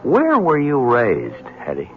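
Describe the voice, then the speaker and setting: An actor playing a skeptical young newspaper reporter in an old-time radio drama, conversational and placating. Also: male voice, mid-range voice, wildly swooping pitch, slow. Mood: persuasive. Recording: dull, slightly hissy vintage radio recording.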